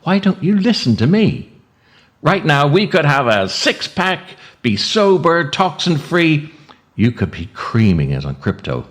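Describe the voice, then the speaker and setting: A man's voice: fairly high pitch, big pitch swings, unhurried, pitched above the performer's own voice. A man voicing his own earnest, nagging wise self in comic dialogue, pleading and exasperated, sometimes stammering. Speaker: podcast writer and performer. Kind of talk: comic scripted dialogue with himself.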